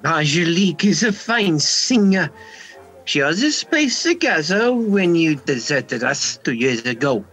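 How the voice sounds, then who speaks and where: A man's voice: on the high side, very animated, unhurried, a bit bright, faint music behind, heavily acted. A man playing a flustered nightclub manager in an amateur radio play. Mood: calm, defensive. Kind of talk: hobby radio play acting.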